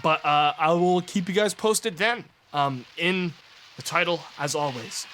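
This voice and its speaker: Hoarse, raspy young male streamer. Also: fairly high pitch, measured pace, a bit bright, a little hiss or hum. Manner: rambling, offhand, trails into mumbles. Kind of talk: casual live-stream chat, thanking subscribers.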